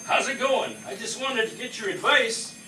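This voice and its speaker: Blustering, rambling comic starship captain male actor, piercingly bright delivery, echoey live-stage radio play sound. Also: very high voice, lively intonation, normal speed, full character voice. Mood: cheerfully oblivious. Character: bumbling, oblivious, pompous spaceship captain, a man. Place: live stage performance in a room. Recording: distant mic in echoey room, faint noise.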